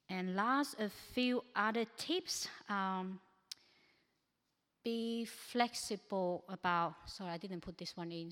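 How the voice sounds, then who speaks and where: Woman speaker, English not her first language, lowish voice, hesitant practical tips, long hall reverb. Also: clean tone. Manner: practical, advice-giving, with frequent hesitations. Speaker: speaker at a church ministry training. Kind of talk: informal presentation with slides.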